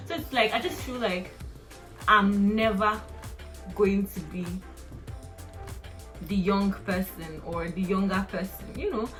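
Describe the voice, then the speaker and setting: Young Nigerian woman, mid-pitched, rambling chatty vlogger, often laughing; faint music underneath. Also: slow, pauses often, a bit bright. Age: 20s. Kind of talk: casual personal vlog.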